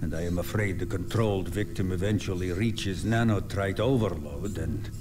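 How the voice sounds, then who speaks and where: An actor playing an eccentric old male scientist in a video game, halting and muttering to himself with dark fascination. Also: low-pitched, over music, lightly acted. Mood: intrigued, grave. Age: older. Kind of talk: dramatic video game character acting.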